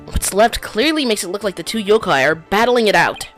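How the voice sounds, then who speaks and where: A woman's voice: medium pitch, lively intonation, brisk, fairly bright tone, soft background music, close to an everyday voice. Casting: woman doing a determined young male lawyer, voice moving a lot, accusing. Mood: pressing. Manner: pressing, accusing. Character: earnest young male defense attorney.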